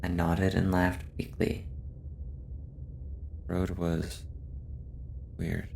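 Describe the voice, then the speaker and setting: Deep, gravelly man narrating slowly. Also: monotone, darkish tone, slight background noise. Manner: slow, measured, subdued delivery. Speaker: horror story narrator. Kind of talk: scripted first-person horror narration.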